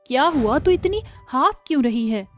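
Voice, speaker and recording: Woman acting a high-pitched, anxious village mother. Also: lively intonation, normal speed, soft background music. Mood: doubtful, worried. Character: poor, worried village mother working as a house cleaner.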